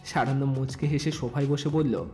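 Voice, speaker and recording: A man's voice: medium pitch, fairly even intonation, moderate pace, darkish tone. A man narrating a scripted audio story as the narrator between lines of dialogue. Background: faint music.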